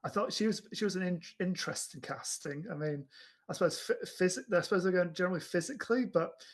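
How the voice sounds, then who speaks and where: A man's voice: high voice, fast talker. A man chatting casually as a podcast host about films, with a hesitant delivery full of repeated restarts. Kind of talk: casual chat reviewing films.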